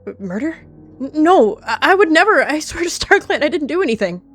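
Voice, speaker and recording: A nervous young male warrior cat, put on by a woman, stumbles over his words as he protests. He sounds jittery and pitched high, pleading his innocence in a roleplay scene. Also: very animated, fairly quick, soft background music. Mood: panicked. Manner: stammering, panicked denial.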